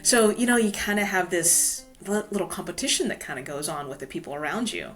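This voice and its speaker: A woman's voice: on the low side, lively intonation, a little fast, faint music behind. A woman from the United States, a guest author, telling her personal story in a frank, conversational way. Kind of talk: casual interview storytelling.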